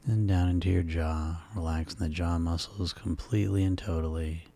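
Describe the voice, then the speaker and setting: Male hypnosis guide: very low, dark and relaxed tone, soothing and hushed, gently instructing a listener toward sleep. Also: gravelly.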